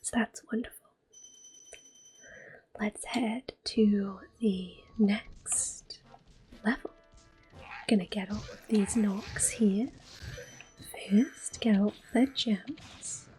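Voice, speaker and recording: A woman whispering and speaking softly as she plays through a video game, gentle and a little hesitant, pausing with ums. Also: mid-range voice, poor audio. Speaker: ASMR gameplay creator. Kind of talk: soft-spoken ASMR gameplay commentary. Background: faint game music and controller clicks.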